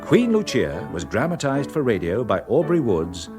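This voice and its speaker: A man's voice: mid-range voice, huge pitch swings, normal speed. A man with an English Received Pronunciation accent reading a scripted credits announcement for radio. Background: piano music.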